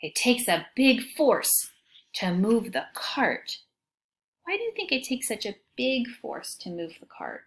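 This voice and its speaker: A woman teacher reading a picture book aloud to children in an expressive way, pausing to ask them questions. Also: fairly high pitch. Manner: expressive, child-directed, often asking questions.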